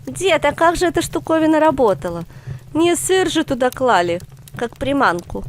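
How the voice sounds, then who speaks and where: Woman voicing an eager, high-pitched young schoolboy. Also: big pitch swings, normal speed, slight background noise. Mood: curious. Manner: eager, questioning, colloquial. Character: curious young schoolboy grandson, lively and cheeky.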